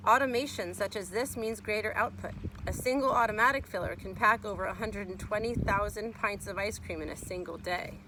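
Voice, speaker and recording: A woman's voice: medium pitch, brisk, background noise. A woman reading a children's nonfiction book aloud as a teacher would, explaining and speaking to young listeners.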